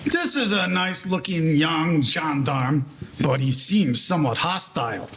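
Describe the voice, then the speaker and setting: High-pitched, animated male storyteller. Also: unhurried, a little hiss or hum. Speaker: narrator. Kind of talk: scripted storytelling narration for 1940s-style radio play.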